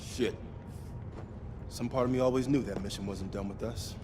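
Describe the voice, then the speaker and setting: A man's voice: fairly low pitch, fairly even intonation, moderate pace, background noise, close to an everyday voice. A man playing a cynical, world-weary Vietnam veteran soldier in a video game, wry and blunt. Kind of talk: cinematic game dialogue.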